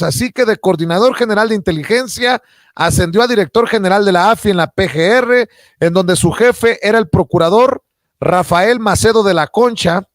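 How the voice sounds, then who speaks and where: High-pitched Mexican man, news commentator. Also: dry recording, clean studio sound. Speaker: news show host. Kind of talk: political news commentary, partly read from notes.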